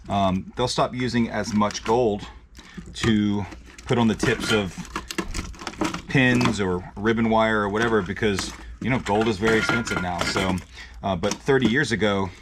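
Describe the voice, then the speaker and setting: A man hosting a hands-on teardown video, talking to the camera in a casual, plain-spoken, explanatory way. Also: lowish voice. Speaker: host of an e-waste teardown video. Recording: clear background noise with handling clicks.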